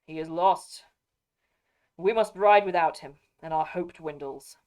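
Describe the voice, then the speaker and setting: A woman's voice: lowish voice, unhurried, darkish tone, natural voice. A woman reading aloud, voicing a young warrior lord's grim, urgent lines.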